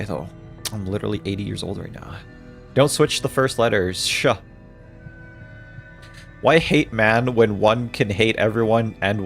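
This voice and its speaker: Joking gravelly-voiced young streamer man. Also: lowish voice, fairly bright tone. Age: 20s. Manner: joking, laughs often, reads chat messages aloud. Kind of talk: casual livestream chat while playing a game.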